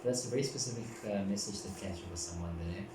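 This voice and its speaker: A man from Africa, giving a casual, gentle, meandering tarot reading and often repeating himself. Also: on the low side, fast talker. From South Africa. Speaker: tarot card reader. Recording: very echoey room, distant mic, background noise.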